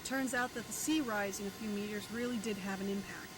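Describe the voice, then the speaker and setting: A woman voicing a composed military operator who briefs a mech pilot in a video game, measured and informative. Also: medium pitch, noisy background, close to an everyday voice. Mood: explanatory, wry. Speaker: mission operator in a mecha game. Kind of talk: scripted game briefing voice-over. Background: game music and engine sounds.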